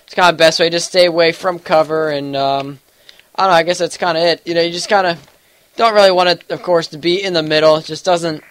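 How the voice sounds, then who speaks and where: A man's voice: a little high, fairly even intonation, brisk, a bit bright. A young man with a congested, sniffly voice giving casual gameplay commentary, rambling with lots of fillers and hedges. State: congested with allergies. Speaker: gaming YouTuber.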